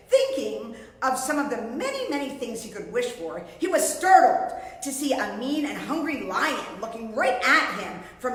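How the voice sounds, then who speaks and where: Woman children's storyteller, middle-aged: highish, very animated, theatrical, reverberant room. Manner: theatrical, explanatory, addressing young children. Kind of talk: read-aloud of a picture book to children. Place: indoors before a painted storybook backdrop.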